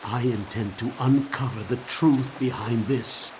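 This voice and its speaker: An actor playing an earnest, troubled but resolute monk in a video game cutscene, with a solemn, formal delivery. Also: male voice, medium pitch, fairly even intonation, a little slow, lightly acted. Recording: long stone-hall reverb, faint noise.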